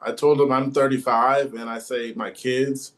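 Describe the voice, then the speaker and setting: Man in his thirties, mid-pitched voice, conversational, asks questions. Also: level tone, normal speed, a bit bright. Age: 30s. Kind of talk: casual group chat.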